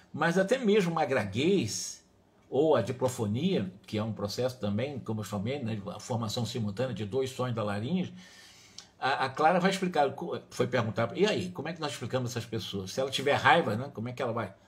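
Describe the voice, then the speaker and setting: A Brazilian man with a mid-range voice delivers a religious study talk. He speaks as a lecturer, didactic and emphatic, moving between reading and explanation. He often repeats and rephrases his points. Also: medium pitch. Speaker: spiritist lecturer.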